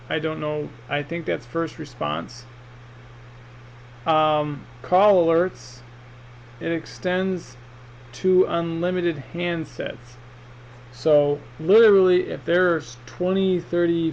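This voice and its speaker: A man giving a casual, rambling, hesitant product review in an unboxing vlog, speaking off the cuff. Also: on the high side. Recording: muffled, with clear background noise.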